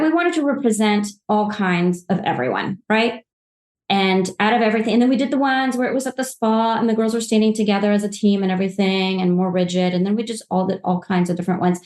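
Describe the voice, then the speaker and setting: A woman's voice: medium pitch, brisk, darkish tone. A woman in her forties from Poland, answering podcast questions about social media marketing in an enthusiastic, rambling way with frequent restarts. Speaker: social media agency guest. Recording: roomy echo.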